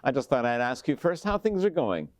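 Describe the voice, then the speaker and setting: A man's voice: mid-range voice, big pitch swings, brisk, no echo, studio quality. A man hosting an interview show, introducing his guest and asking questions.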